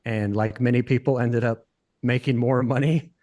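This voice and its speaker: A man in his forties from the United States, chatting as a podcast guest in a relaxed, rambling way, with many fillers. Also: medium pitch, moderate pace. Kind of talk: casual interview chat.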